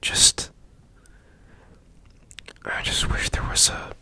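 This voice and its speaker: A man acts out a strange, curious robot repairman in an ASMR roleplay. He speaks in a slow, breathy whisper with crisp, bright edges. His gentle, soothing murmurs rise and dip freely as he works. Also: heavily acted. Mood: puzzled, wistful.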